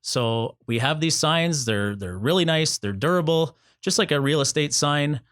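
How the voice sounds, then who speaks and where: A man's voice: fairly high pitch, moderate pace, a bit bright, dry recording. Male talk show host; upbeat, jokey, promotional energy; dry close-miked sound.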